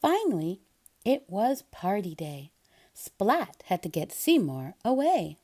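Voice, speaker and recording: A woman reading a children's picture book aloud in an expressive narrating style. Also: medium pitch, slow pace. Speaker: storybook reader.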